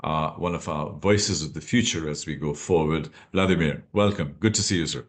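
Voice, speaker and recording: A man's voice: extremely low pitch, moderate pace. A man hosting a podcast interview, asking long questions and searching for words as he goes. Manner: rambling, searching questions with fillers. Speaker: podcast host.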